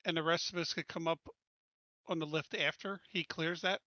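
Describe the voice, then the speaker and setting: A man talks casually as a player in a tabletop game. His voice sits a little high and has a bright, forward edge. The recording is dry and a bit muffled. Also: steady tone, moderate pace, dry recording. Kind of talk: casual chat.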